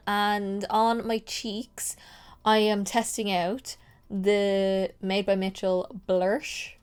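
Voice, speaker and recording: A woman's voice: medium pitch, a little mellow, smooth. A young woman, a makeup artist, chatting casually through a tutorial, nasal and stuffy from a head cold, rambling and correcting herself as she goes. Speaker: makeup artist and beauty vlogger.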